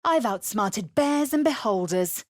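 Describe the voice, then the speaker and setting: A woman's voice: fairly high pitch, animated, unhurried, dry recording, studio quality. An actress playing a bold, sardonic female spellcasting adventurer in a video game, with a wry, punchy, theatrical delivery. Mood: wry, cocky. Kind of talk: scripted video game character lines.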